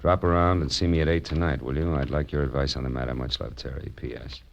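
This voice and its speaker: A man's voice: extremely low pitch, steady tone, fast talker, vocal fry. Wry, world-weary male gumshoe, bottom-register voice, vintage radio drama, muffled old recording. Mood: dry, probing. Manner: dry, wisecracking, hard-boiled. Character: wry, world-weary male private detective narrating his case. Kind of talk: 1940s radio detective acting.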